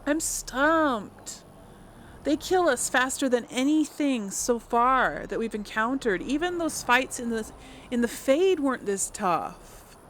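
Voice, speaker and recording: A woman's voice: medium pitch, fairly bright tone. Female gaming host, mid-range voice, informal and chatty let's play commentary, slightly noisy recording. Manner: informal, chatty, addressing viewers directly.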